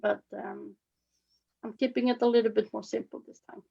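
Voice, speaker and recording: A woman from Sweden with a Swedish accent, giving an informal demonstration as a face painting instructor, with halting phrasing. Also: on the high side, little pitch movement, gravelly, breathy edge. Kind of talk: informal live demonstration with commentary. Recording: slightly dull, lo-fi webinar audio.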